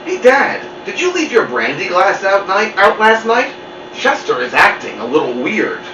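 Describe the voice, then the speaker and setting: A man's voice: high voice, huge pitch swings, normal speed, voice pushed up. A cheeky storybook boy , voiced by a man lectures and teases. The voice is raised and bouncy, very sure of itself. The recording is muffled and echoey. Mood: puzzled. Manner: assertive, teasing. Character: cheeky know-it-all young boy, teasing big brother. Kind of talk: children's storybook read-aloud. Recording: muffled, roomy, distant mic with noise.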